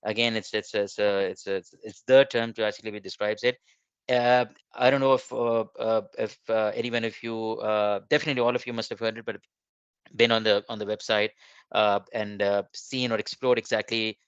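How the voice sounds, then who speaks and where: A middle-aged man whose first language is Urdu gives a webinar presentation, halting with many fillers and restarts. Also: fairly low pitch, little pitch movement, clean tone, no echo. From Pakistan. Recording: dull lo-fi online call.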